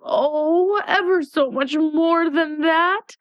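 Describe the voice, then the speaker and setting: Lady putting on a whiny high fretful queen, all moany and slow. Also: no room sound, dull audio. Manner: moaning, plaintive.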